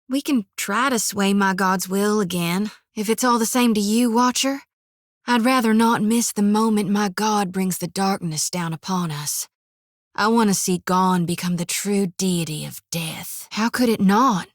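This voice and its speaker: A woman's voice: medium pitch, dry recording, lightly acted. An actress voicing a folksy, earnest young woman priest of death in a video game, with a drawl. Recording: dry studio recording.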